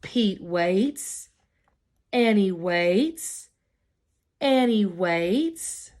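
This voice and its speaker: Slow, expressive woman reading to preschoolers. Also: mid-range voice. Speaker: preschool teacher. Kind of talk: reading a picture book aloud to children.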